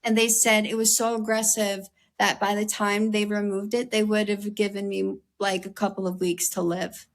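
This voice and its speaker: A young American woman, a guest in an interview, speaking candidly in an earnest, conversational way with a slightly raspy voice and many "like"s and "um"s. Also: medium pitch, level tone, normal speed, hardly any pauses. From United States. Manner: earnest, heavy use of "like" and "um".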